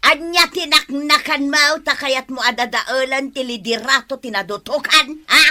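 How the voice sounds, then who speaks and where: An actress playing an exasperated wife in an Ilocano radio comedy drama, nagging emphatically in an Ilocano accent. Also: female voice, high-pitched, normal speed, sharp tone. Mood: exasperated, questioning. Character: exasperated scolding wife of a wayward man.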